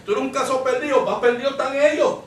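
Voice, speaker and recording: A man's voice: very high voice, quick pace, a little hiss or hum. A man with a Puerto Rican Spanish accent preaching a Bible-study sermon, emphatic and repetitive, putting questions to his congregation. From Puerto Rico. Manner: emphatic, repeats phrases, asks the congregation rhetorical questions. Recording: roomy echo, distant microphone.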